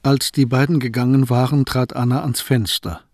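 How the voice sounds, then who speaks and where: A man narrating a historical radio play in a calm, measured storytelling manner. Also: mid-range voice.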